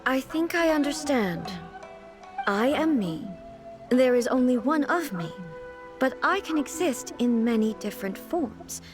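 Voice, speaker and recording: Dignified, slow-spoken female ruler. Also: sits high, lively intonation. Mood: resolute. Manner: formal, measured, deliberate. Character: dignified, formal female ruler, earnest and naively puzzled. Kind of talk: video game voice acting. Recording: music playing behind the voice.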